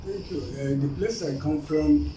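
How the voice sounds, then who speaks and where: Male interviewee, Nigerian Igbo accent, slightly high-pitched voice, explanatory and emphatic, picked up from far off. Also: a little slow. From eastern Nigeria. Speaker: interview guest. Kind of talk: informal explanatory interview answers. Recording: roomy, distant, clearly noisy recording.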